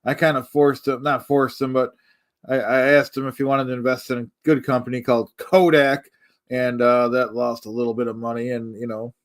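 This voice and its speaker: A laid-back male sidekick, as a man plays him on a comedy stream, agreeable and easygoing. His voice sits at an ordinary middle pitch. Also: little pitch movement, fairly quick, natural voice. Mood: sheepish. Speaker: stream co-host.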